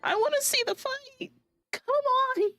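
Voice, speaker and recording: A woman game streamer giving casual, expressive reaction commentary and thinking aloud as she plays. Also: very high voice, unhurried. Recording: game music behind.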